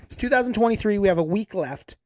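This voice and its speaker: A woman's voice: medium pitch, lively intonation, fast talker, dark tone, no room sound, clean studio sound. A woman in her late thirties co-hosting a podcast, teasing and mock-scolding her friend in casual chat at home. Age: 30s. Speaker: podcast co-host. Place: apartment room, recorded together in person.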